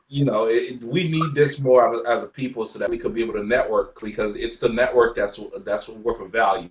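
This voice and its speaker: A man in his forties from Haiti with a light Haitian accent, chatting as a guest in a relaxed, rambling way. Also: mid-range voice, echoey room, far from the mic, rough recording. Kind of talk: casual chat.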